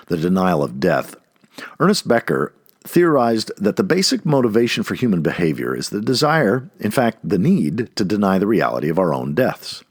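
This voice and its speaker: A man reading an essay aloud like an audiobook narrator, expressively and with deliberate, emphatic phrasing. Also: deep voice, breathy edge, no room sound, studio quality.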